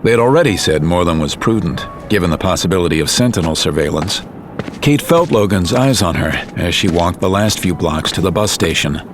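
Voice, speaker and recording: A man narrating a scripted audio drama in a serious, measured storytelling manner. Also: sits low, animated, soft background music.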